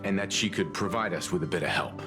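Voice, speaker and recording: An actor voicing a reserved, level-headed young man in a video game, speaking calmly. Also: sits low, fast, natural voice. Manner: calm, reserved. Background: game soundtrack music.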